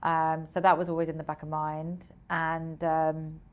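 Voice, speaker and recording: A woman's voice: low-pitched, level tone, unhurried. A woman speaking casually as a founder being interviewed, telling things as stories and acting out quotes. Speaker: tech founder interviewed as guest.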